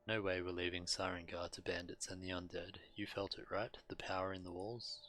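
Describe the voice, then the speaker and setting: Gruff, resolute game warrior: very low pitch, bright forceful edge, terse dramatic lines. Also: male voice, flat delivery, brisk. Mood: determined. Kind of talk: video game character dialogue.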